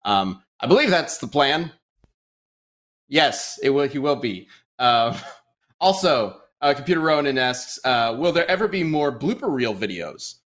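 A man's voice: medium pitch, very animated, measured pace, a bit bright. A man hosting a livestream, chatting casually, teasing his co-host and reading viewer questions. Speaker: host of a web show.

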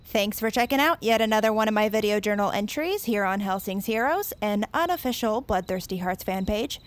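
A young woman with an American accent playing an excitable, geeky, sarcastic teenage superfan in an audio drama. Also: medium pitch, brisk, lightly acted. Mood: perky, self-assured.

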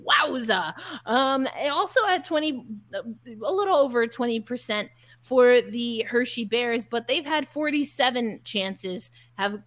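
A woman's voice: fairly high pitch, lively intonation, measured pace, fairly bright tone. A woman hosting a sports podcast in a conversational style, chatty and upbeat.

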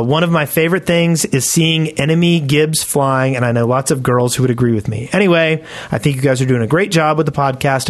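A man co-hosting a podcast, chatting casually and reading out listener messages. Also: fairly high pitch. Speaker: podcast co-host.

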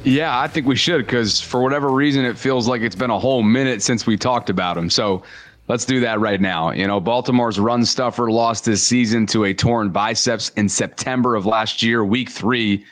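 A man's voice: mid-range voice, moderate pace, no room sound, soft background music. Male sports show co-host, casual and upbeat, dry close-mic sound. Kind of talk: scripted sports talk.

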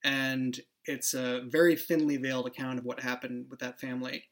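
A man's voice: medium pitch, flat delivery, normal speed. Reflective man explaining his writing. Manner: reflective, thinks aloud with restarts. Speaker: writer and show creator. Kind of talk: casual behind-the-scenes chat.

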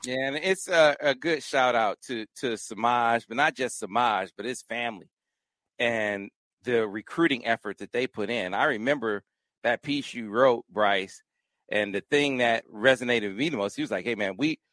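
A man's voice: mid-range voice, normal speed, fairly bright tone, no echo. A man hosting a recruiting podcast, talking sports casually and animatedly, given to exclamations and repeats. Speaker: podcast host.

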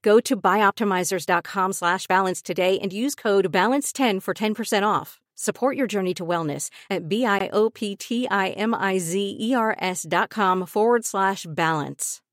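A woman's voice: mid-range voice, normal speed, few pauses, no echo, studio quality. A woman reading an advertisement in an earnest, persuasive way. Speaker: ad announcer. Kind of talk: read advertisement.

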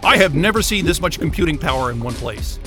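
A man's voice: fairly high pitch, animated, quick pace, music in the background, lightly acted. An actor playing a hot-tempered, sarcastic male scientist in an audio drama, agitated, profane and combative. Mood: mocking, defiant. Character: hot-tempered, sarcastic male astrophysicist trapped in a remote station.

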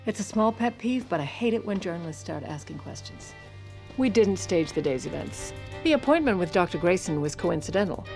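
Commanding First Lady, lowish voice, brisk, polished, sardonic, audio drama, music behind. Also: animated, darkish tone. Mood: condescending, brisk. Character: shrewd, controlling First Lady in 1910s Washington. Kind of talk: audio fiction acting with narrated asides.

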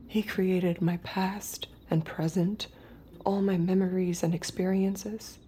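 An actress playing a subdued artificial young woman, a haunted reluctant killer, in a quiet, intimate audio drama monologue. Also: fairly low pitch, slight background noise. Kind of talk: dramatic first-person audio fiction narration.

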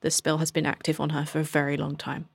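Low-voiced woman narrating a tabletop game. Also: monotone, quick pace, creaky, slightly rough, no room sound, clean studio sound. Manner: informal, conversational storytelling. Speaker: game master.

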